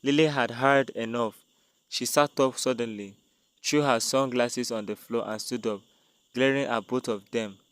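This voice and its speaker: A man's voice: medium pitch, steady tone, moderate pace, smooth, no echo. A man narrating a dramatic scripted tale as a storyteller.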